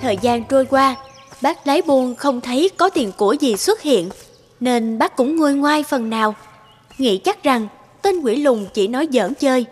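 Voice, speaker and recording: Female fairy tale narrator: high voice, scripted storytelling, light music bed. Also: expressive, normal speed.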